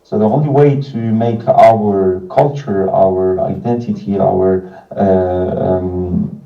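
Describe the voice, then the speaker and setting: A man, giving an informal prepared talk in a halting, hesitant way with frequent pauses. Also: low-pitched, steady tone, vocal fry, faint music behind. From France. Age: 30s. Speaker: non-profit organization founder and representative. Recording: muffled, distant, echoey video playback.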